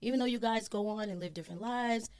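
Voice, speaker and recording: A woman's voice: a little high, fast talker, a little mellow. A woman from Queens, chatting easily as a podcast guest and often repeating herself. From Queens, New York. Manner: laid-back, repeats herself, lots of you-knows. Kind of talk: casual interview chat.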